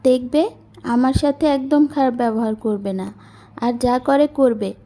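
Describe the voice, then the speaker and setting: A young village girl who works as a maid, voiced by a woman in a cartoon moral tale. She is devoted, earnest and polite, with a high, mellow voice. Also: fairly even intonation, a little slow. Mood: firm, caring.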